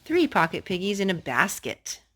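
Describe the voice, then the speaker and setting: A woman's voice: mid-range voice, very animated, moderate pace, sharp tone. A woman hosting a children's storytime, reading picture books aloud and chatting with a young child through simple questions and asides. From North Carolina.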